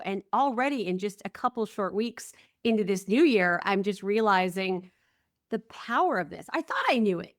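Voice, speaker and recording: Female podcast host, slightly high voice, dry close sound, sincere and reflective. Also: no room sound. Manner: earnest and reflective, lots of warm affirmations. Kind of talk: conversational podcast interview.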